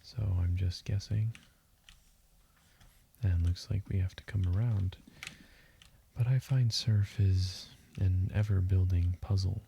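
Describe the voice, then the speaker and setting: Male gaming host, very mellow dark tone, slow soothing murmur, keyboard clicks and faint noise behind. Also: deep voice. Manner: hushed and breathy, drifting into whisper; calm, deliberate delivery. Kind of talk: slow, soothing sleep-meditation game commentary. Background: keyboard typing and mouse clicking.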